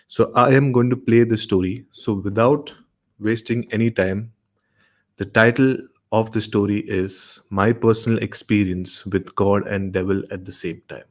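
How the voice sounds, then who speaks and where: A man in his thirties from Maharashtra, India, with an Indian accent, hosting and narrating a horror story show. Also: lowish voice. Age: 30s.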